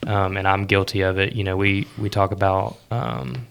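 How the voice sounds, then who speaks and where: A man hosting a podcast, explaining things in a casual, halting and tentative way. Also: deep voice, flat delivery, vocal fry, fairly bright tone, smooth. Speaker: podcast host. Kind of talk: casual chat.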